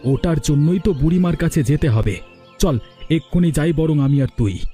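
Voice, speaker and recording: Guy voicing a firm protective village big brother in a cartoon, mellow highish voice, measured. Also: faint music behind, close to an everyday voice. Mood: determined, plotting. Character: resolute village man, protective avenging elder brother figure. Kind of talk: cartoon drama voice acting.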